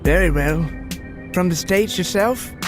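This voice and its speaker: A man voicing a nervous, eccentric older British husband, fussy, halting and chatty, in a comic audio story. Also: high-pitched, music in the background, voice pushed up. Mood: curious chatty.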